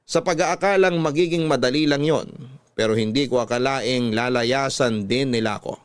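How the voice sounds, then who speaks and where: A man's voice: medium pitch, moderate pace, smooth, dry recording. A man with a Filipino accent reading a dramatic first-person story as a radio storyteller.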